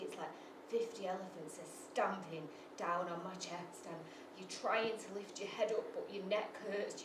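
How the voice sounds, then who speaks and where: A woman's voice: medium pitch, distant mic, background noise, close to an everyday voice. A woman playing a raw, volatile mother. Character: British mother with bipolar disorder, raw and volatile. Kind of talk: theatrical monologue. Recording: echoey stage recorded from afar.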